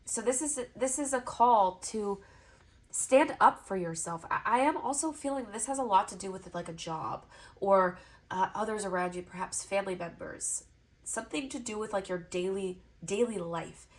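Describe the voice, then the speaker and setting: A woman reads tarot for her viewers with a stuffy, congested nose. Her pitch sits in a mid range, and she speaks in a soft, reassuring way, stacking repeated phrases into long flowing lists as she delivers her reading straight to camera. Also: lively intonation, normal speed. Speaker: tarot reader.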